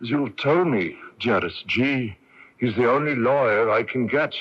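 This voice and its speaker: An actor playing a condemned Irish patriot in a radio drama, bitter, fatalistic and eloquent, with a theatrical, sardonic and deliberate delivery. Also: male voice, medium pitch, breathy edge. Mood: resigned, wry. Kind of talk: radio drama acting with narration. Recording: dull, muffled 1980s AM radio recording.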